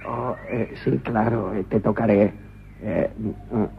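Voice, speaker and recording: A man's voice: on the low side, fairly even intonation, slow, creaky, heavy background noise. A man voicing a bumbling male inspector turned prisoner, hesitant and stammering, in a comic radio play. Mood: flustered. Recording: dull lo-fi radio recording.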